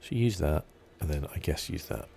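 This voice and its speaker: A soft-spoken man giving unhurried, understated ASMR commentary on a video game, often slipping into a whisper. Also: extremely low pitch, lively intonation, gravelly, music in the background. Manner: unhurried, understated musing to himself. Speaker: gaming channel host.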